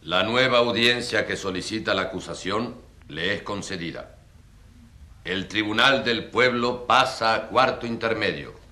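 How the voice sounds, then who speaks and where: A man's voice: on the low side, unhurried, pushed and bright. A man playing a formal judge giving rulings in a radio courtroom drama, in an echoey recording. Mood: solemn. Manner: formal, declarative. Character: formal male judge presiding over a tribunal. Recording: echoey room with faint noise.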